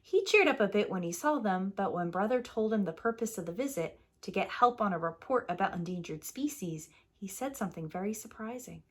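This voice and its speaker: A woman's voice: mid-range voice, animated, fairly quick, few pauses. A woman preschool teacher narrating a children's picture book as a storyteller.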